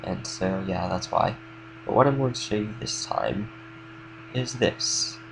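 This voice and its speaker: A young man with a slight cold, casually explaining a game build in a relaxed, rambling way with lots of fillers. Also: fairly low pitch, a little slow, gravelly, background noise. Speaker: game tutorial creator. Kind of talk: casual gameplay tutorial commentary.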